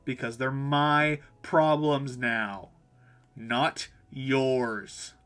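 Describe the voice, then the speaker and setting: A man's voice: mid-range voice, steady tone, extremely slow, a bit bright, soft background music. A man voicing a stressed, snappy young woman who runs a cafe, irritable and blunt, in a visual novel. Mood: exasperated, defensive. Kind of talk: visual novel dialogue read aloud.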